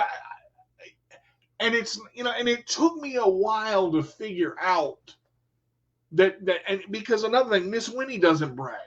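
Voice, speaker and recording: A man with a high, bright-sitting voice hosts a radio talk show, speaking in an emphatic motivational way. He repeats his key lines and keeps checking in with listeners. The recording is dull and lo-fi. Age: adult with a son. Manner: emphatic, repetitive, talks straight to listeners. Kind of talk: motivational monologue.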